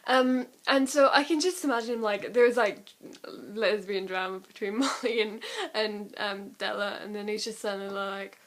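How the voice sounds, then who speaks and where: A woman's voice: medium pitch, normal speed. A young woman in a casual, rambling vlog chat, giggly and prone to laughing at herself as she does impressions. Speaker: amateur vlogger doing impressions.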